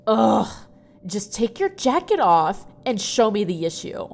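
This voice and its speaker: A woman's voice: medium pitch, sing-song, unhurried, gravelly, darkish tone, exaggerated voice. A woman playing an irritable, threatening school nurse in an audio drama, grumbling and impatient. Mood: exasperated.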